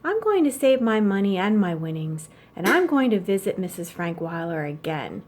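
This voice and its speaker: A woman's voice: mid-range voice, big pitch swings, normal speed, darkish tone. Blunt, eager little boy voiced by a woman. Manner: blunt, matter-of-fact. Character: young boy, blunt, money-minded, eager little brother.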